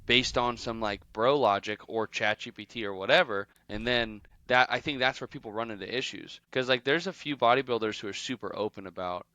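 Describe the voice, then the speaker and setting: A young man with a bright voice and a smooth, clean tone co-hosts a fitness podcast. He talks in a relaxed, frank way and swears casually, at an ordinary middle pitch. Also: brisk, few pauses, no room sound. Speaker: podcast co-host. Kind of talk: casual chat.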